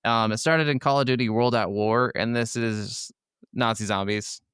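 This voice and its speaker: A man's voice: medium pitch, fairly even intonation, moderate pace, a bit bright, smooth, dry recording, studio quality. A man co-hosting a gaming podcast, chatting casually and enthusiastically with plenty of informal swearing. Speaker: podcast co-host.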